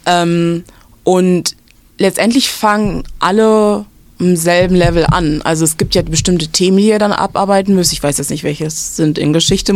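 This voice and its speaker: A woman's voice: on the low side, no room sound. A young woman from western Germany giving casual school advice, explaining at length with many fillers and restarts.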